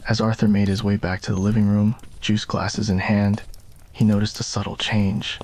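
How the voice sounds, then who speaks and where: Low-voiced man narrating a romance. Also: little pitch movement, normal speed, a little mellow. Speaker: narrator and roleplay performer. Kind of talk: scripted ASMR romance story narration.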